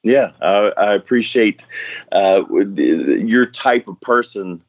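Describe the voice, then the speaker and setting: A man's voice: on the low side, lively intonation, takes it slowly, dry recording. A man in his forties with a Southern US accent, hosting a casual wrestling-veteran chat in a folksy, profane way. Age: 40s. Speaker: podcast co-host. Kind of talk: casual chat between old wrestling colleagues. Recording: muffled, band-limited podcast call audio.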